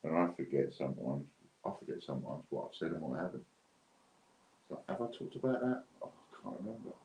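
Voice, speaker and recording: A man's voice: a bass voice, big pitch swings, quick pace, warm tone. A British man hosting a giveaway livestream in casual, unscripted, chatty and rambling talk. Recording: dull lo-fi, roomy echo, clear background noise.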